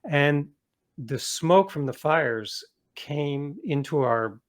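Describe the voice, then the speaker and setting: Middle-aged male author as interview guest, slightly high voice, reflective and conversational. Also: lively intonation, slow.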